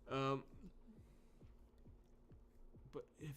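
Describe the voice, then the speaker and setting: A man doing let's play commentary, thinking aloud in animated bursts. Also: moderate pace. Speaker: game playthrough host. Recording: music behind the voice. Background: video game music.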